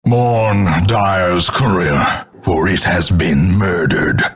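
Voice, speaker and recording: Slow, grim announcer of doom. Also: male voice, deep voice, animated, slightly rough, full character voice. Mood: mournful, grim. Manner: grandiose, ornate, measured dramatic delivery. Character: grim ominous older male narrator of doom, contemptuous. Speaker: game announcer. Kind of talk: theatrical scripted game announcements, gothic narration.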